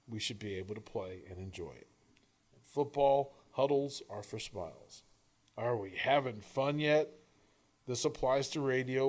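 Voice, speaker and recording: Man giving an earnest, emphatic inspirational reading. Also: mid-range voice. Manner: earnest, emphatic, deliberate phrasing with dramatic pauses. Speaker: author reading own work; coach and radio host. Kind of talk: scripted inspirational audiobook-style reading.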